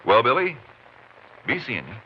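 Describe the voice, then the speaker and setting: An American man playing a steady, friendly Texas Ranger in a 1950s western radio drama, speaking in folksy, clipped phrases. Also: medium pitch, normal speed. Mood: gentle, reassuring. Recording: muffled, noisy 1950s radio recording.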